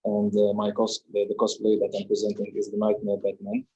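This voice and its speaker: A brooding masked vigilante, put on by a man in a dark, flat, husky growl. The voice stays deep and barely moves in pitch, and it halts between words. It comes over a call. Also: highs cut off. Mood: gruff. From UAE. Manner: halting, with many fillers.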